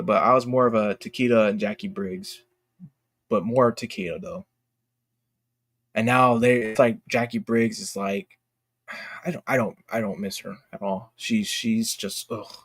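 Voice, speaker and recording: A man's voice: mid-range voice, a bit bright, dry recording. Casual man streamer with a dry, close sound. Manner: opinionated, informal, emphatic, slangy "dude" and "bro". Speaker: livestream host. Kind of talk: casual livestream chat about games.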